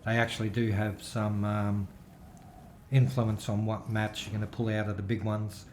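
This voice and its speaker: A man's voice: on the low side, level tone, moderate pace, darkish tone, echoey room, a little hiss or hum. Chatty middle-aged man. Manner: rambling, chatty, with filler-heavy restarts. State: throat still recovering, voice a little worn. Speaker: gaming video host. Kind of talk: casual gameplay commentary.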